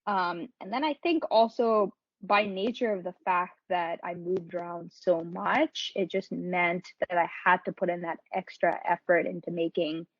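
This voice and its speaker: A woman's voice: lowish voice, fairly even intonation, unhurried, few pauses, no echo, dull audio. A woman giving reflective, conversational answers as a podcast guest, often adding "you know". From born in Pakistan, grew up in several countries. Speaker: podcast guest, marketing professional.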